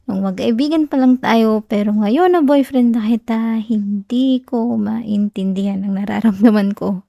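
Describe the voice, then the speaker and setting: A woman playing a shy, flustered young girlfriend in an intimate ASMR roleplay, speaking softly and teasingly with a Filipino accent. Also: a little high, creaky, no echo, clean studio sound. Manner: soft, intimate, bashful, playfully whiny. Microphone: close, dry ASMR microphone.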